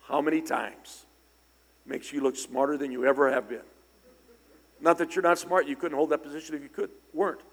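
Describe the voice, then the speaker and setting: Man, raspy hoarse voice, sermon, church hall reverb. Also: on the high side, fairly even intonation, brisk. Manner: conversational, anecdotal, bursts of loud emphasis. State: slightly hoarse from singing. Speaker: preacher. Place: church sanctuary.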